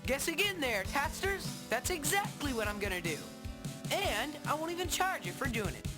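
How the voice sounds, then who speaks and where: High, cocky young rascal guy. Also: moderate pace. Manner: slangy, breezy, excitable. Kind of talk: exaggerated video game cartoon acting. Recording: game music under the voice.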